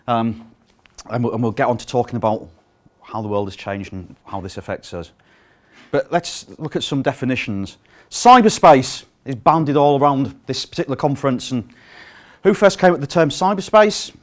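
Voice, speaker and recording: A middle-aged British man giving a conference talk, projecting his voice without a microphone and speaking with plenty of ums and uhs. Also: mid-range voice. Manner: projecting to fill a room without a sound system; lively, frequent ums and uhs. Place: conference room.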